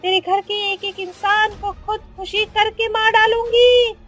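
Shrill vengeful witch. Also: fairly even intonation, a bit bright, soft background music. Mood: menacing glee. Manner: menacing, drawn-out, shrill. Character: vengeful cackling female tree witch.

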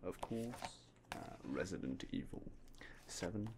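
A young man whispering softly and politely as a game shop clerk in an ASMR roleplay, close to a binaural mic. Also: low-pitched, animated, slow pace, vocal fry, noisy background. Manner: quiet, whispery, polite shopkeeper patter.

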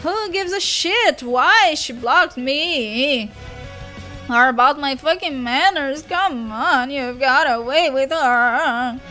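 A woman's voice: high voice, slow pace, pushed and bright, faint music behind, exaggerated voice. A woman voicing a brash, sneering young male troll. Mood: belligerent. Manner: profane, pushy, sneering.

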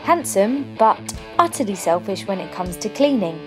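A woman's voice: medium pitch, very animated, normal speed, natural voice, poor audio. A young woman playing a sardonic narrator of a comic fairytale, wry and knowing in her scripted narration. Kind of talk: scripted storybook-style narration. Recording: music bed under voice-over.

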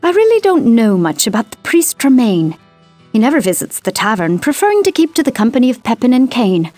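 A young barmaid in a fantasy game, polite and thoughtful. Her voice is fairly high and moves expressively. Light music sits behind it. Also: big pitch swings, a little fast, a little mellow. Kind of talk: video game character acting.

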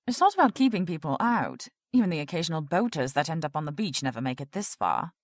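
An actress playing a prim, rule-bound research project director in an audio drama, formal and officious. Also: female voice, deep voice, very animated, fast, no echo, clean studio sound. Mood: officious, defensive.

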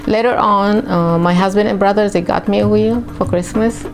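A woman in her forties from Lebanon, a ceramic artist telling her story in an unhurried, reflective way with frequent fillers, recorded on a lapel mic in her home studio. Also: mid-range voice, fairly even intonation, creaky. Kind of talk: interview storytelling. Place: home studio in a dining room. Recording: music bed behind the voice.

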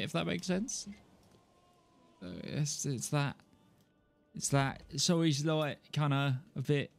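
A young man from southern England with a mild Kentish accent and a nasal voice, rambling and joking at himself as he chats to viewers while playing a game at home. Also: medium pitch. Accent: southern English, mild Kentish. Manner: rambling, self-mocking, laughs often. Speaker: streamer. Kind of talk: casual live-stream chat while playing. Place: indoor home room, gaming chair. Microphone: desk mic in front of him.

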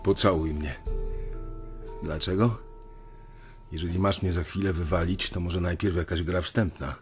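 An actor playing a weary young banker, narrating his story in a radio drama in a reflective, confiding way with a husky voice. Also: sits low, steady tone, a little slow, music in the background. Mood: reflective, resigned.

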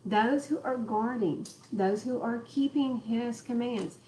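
A woman's voice: a little high, slow pace, dark tone, a little hiss or hum, rough recording. A woman leading a home Bible study, stressing words and joking with the group, recorded by a camera in a living room. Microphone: far camera in the room.

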